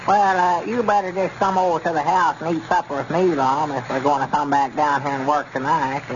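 Through the noise of a 1930s radio comedy comes an actor as an old country farmer, a good-natured, simple man. His voice sits fairly high, with a rural Arkansas accent and homespun phrasing. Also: steady tone, a little fast, lightly acted. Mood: homely. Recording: noisy, muffled 1930s radio recording.

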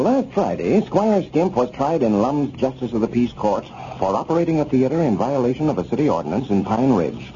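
A man's voice: medium pitch, warm tone. An American man announcing in scripted 1930s radio narration, setting a scene for listeners. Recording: dull, noisy 1930s radio recording.

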